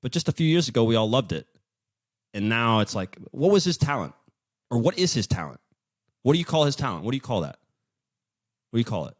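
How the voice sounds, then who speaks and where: A man's voice: mid-range voice, big pitch swings, brisk, long pauses. A man hosting a podcast, chatting casually in a joking, self-deprecating, rambling way. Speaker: podcast host.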